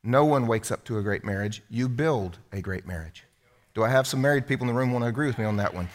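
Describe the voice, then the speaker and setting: A man's voice: mid-range voice, fast, slight background noise. A middle-aged man preaching a sermon to a congregation in a church hall, in a conversational, humorous way. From United States. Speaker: pastor.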